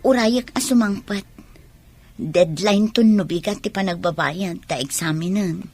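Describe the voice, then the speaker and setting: An actress with an Ilocano Filipino accent playing a self-centred, demanding young college student with a husky voice in a radio drama. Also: female voice, medium pitch, measured pace, darkish tone. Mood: irritated.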